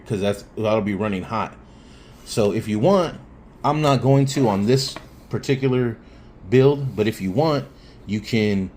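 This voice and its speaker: A man with a fairly low voice gives a casual hands-on tutorial. He is plainspoken and informal, working out his explanation as he goes. There is some background noise on the recording. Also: darkish tone. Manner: informal, plainspoken, thinking aloud. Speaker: hobbyist installer giving a how-to.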